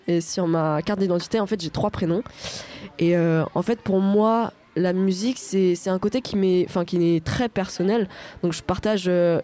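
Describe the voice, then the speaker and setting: A woman's voice: on the low side, dark tone. A young woman from France, a singer-songwriter answering radio interview questions, hesitant with many fillers and restarts.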